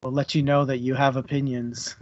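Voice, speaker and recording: A man co-hosting a book club livestream, chatting casually and jokily with his audience in an informal, halting way. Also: mid-range voice, level tone, no echo, highs cut off. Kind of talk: casual chat to a live audience.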